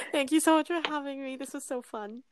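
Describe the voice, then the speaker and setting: A woman's voice: sits high, measured pace, heavy background noise. A young woman with a British accent, chatting casually as a podcast guest and laughing often. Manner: giggly, laughs often between phrases. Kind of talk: casual chat.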